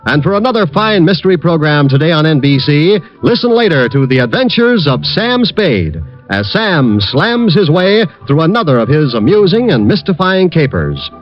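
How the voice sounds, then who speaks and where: A man's voice: fairly high pitch, big pitch swings, normal speed, faint music behind. A man with an American accent reading scripted 1940s radio announcements in a formal, polished way. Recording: dull, band-limited vintage radio broadcast.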